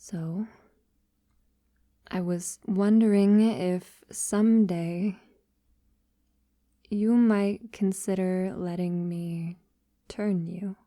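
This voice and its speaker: A woman playing a tender, devoted vampire lover in an intimate ASMR audio roleplay, softly and breathily, often near a whisper, with hesitant pauses. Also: medium pitch, steady tone, no echo, studio quality. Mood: hesitant, vulnerable. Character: tender, devoted female vampire lover, wealthy and long-lived.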